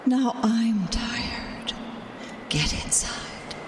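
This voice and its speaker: An actress playing a weary, stern female wind spirit in an audio drama, speaking in terse, breathy commands that often fall to a whisper. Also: fairly high pitch, animated, very slow. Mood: stern, urging. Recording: distant voice with clear background noise.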